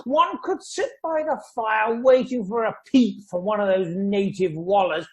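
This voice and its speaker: An actor playing a sardonic, blustering upper-class houseguest in an amateur radio play. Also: male voice, high-pitched, measured pace. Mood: blustering, indignant.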